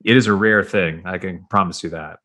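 A man's voice: sits low, steady tone, a little fast, sharp tone. A man hosting a conversation, upbeat and folksy as he chats with a guest. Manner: upbeat, folksy, wraps things up with praise. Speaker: podcast host. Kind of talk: casual chat.

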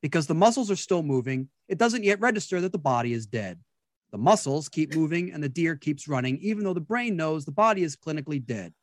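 A man's voice: fairly high pitch, brisk, no echo, clean studio sound. A man hosting a talk show, delivering a scripted comedic monologue with wry timing. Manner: wry, lively delivery with laughs.

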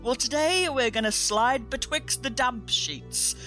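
A woman's voice: on the high side, a little slow, pushed and bright, soft background music. A woman hosting a history podcast in a chatty, cheeky and self-mocking way, given to exclamations. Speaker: podcast host.

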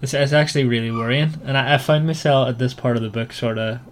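A man casually discussing a book as a podcast co-host, often halting and repeating words. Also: mid-range voice, steady tone, slight background noise.

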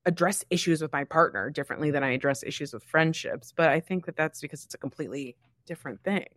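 American woman, 30s, kinda low voice, blunt and wry podcast chat, no room echo. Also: fast, fairly bright tone, studio quality. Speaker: podcast co-host. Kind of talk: casual chat.

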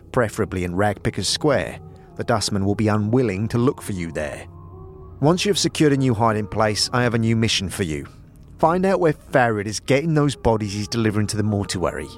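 A scheming, condescending letter writer, voiced by a man, speaks in a fairly low voice over music. The orders are formal and disdainful, as in an audio drama. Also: expressive, fairly quick, close to an everyday voice. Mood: condescending, brisk.

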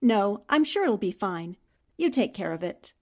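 A woman's voice: mid-range voice, very animated, unhurried, dark tone. An actress playing a spirited, earnest newspaperwoman heroine in a theatrical 1940s-style radio drama. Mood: breezy. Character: spirited, indignant female newspaper columnist heroine.

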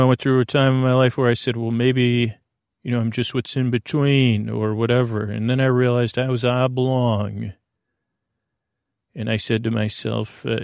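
A man with a mid-pitched, gravelly voice delivers a meandering bedtime podcast monologue. He speaks haltingly, doubles back on himself and drifts into gentle absurdity. The sound is close and dry, with plenty of vocal fry. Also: no room sound. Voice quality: creaky, gravelly. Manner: meandering, halting, digressive, with trailing self-corrections; whimsical, mildly absurd, apologetic. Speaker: podcast host. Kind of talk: rambling sleep podcast monologue.

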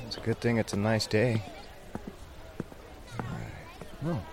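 A man's voice: lowish voice, animated, slow, noisy background. A man playing an easygoing, polite survivor of a zombie apocalypse in an audio drama, narrating and speaking as the lead. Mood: friendly, casual.

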